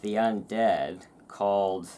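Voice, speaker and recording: A host reading aloud in a relaxed ASMR style, with informal, self-deprecating asides and rain and thunder behind. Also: low voice, takes it slowly. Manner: informal, self-deprecating, stumbles over foreign words. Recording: clear background noise of rain and thunderstorm. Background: rain and thunderstorm ambience.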